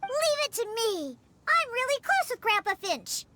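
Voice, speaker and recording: An actress voicing a tiny, childlike fairy companion in a video game, squeaky, eager and outspoken. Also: female voice, big pitch swings, measured pace, pushed and bright, full character voice. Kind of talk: video game cutscene acting.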